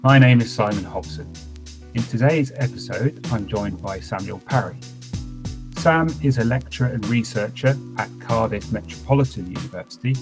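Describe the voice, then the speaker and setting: Male podcast host, lowish voice. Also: moderate pace, music in the background. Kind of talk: scripted intro then interview questions.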